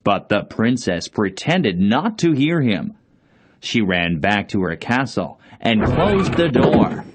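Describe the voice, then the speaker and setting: Man narrator, children's fairy tale, fairly low voice, slow pace, expressive intonation. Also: slightly rough. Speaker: storyteller.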